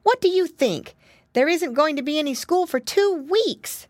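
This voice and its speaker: A woman plays an eager little pig boy from a children's storybook, squealing and gleeful. The voice is very high and swoops around in bold exclamations, with a dry, close sound. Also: expressive, unhurried, a little mellow, no echo. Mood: excited, gleeful. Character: excitable, squealing little piggy boys, eager and brave.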